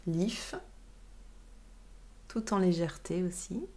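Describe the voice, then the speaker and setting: A woman's voice: on the low side, slow pace, darkish tone. Chatty woman in her late thirties. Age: late 30s. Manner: chatty, reacting to viewer messages. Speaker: livestream co-host. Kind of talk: casual livestream chat. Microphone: webcam livestream.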